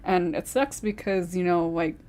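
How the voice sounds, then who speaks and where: A woman's voice: lowish voice, moderate pace, a little mellow. A woman who writes scripts, chatting casually on a podcast and rambling with lots of fillers.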